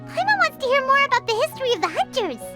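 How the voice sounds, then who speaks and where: A woman voicing a small, childlike, food-loving fairy companion in an anime-style game, excitable and quick to get indignant. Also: extremely high pitch, faint music behind, full character voice. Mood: chirpy, eager. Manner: refers to self in third person, indignant and excitable.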